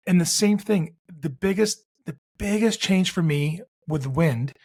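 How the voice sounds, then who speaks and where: Male podcast host, from Canada, chatty storyteller, a little higher-pitched. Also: unhurried, a little mellow, husky. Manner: chatty storyteller, frequent restarts. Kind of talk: casual interview chat.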